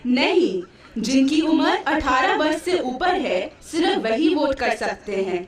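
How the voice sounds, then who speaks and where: A woman playing an earnest, instructive schoolteacher in a puppet show, explaining deliberately. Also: sits high, expressive. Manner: didactic, deliberate. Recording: very echoey hall, distant mic.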